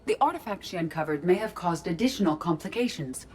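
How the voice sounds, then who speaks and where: A woman voicing a calm ship artificial intelligence in a video game, speaking in a measured, formal, analytical way. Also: fairly low pitch, a little hiss or hum, natural voice. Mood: measured, matter-of-fact.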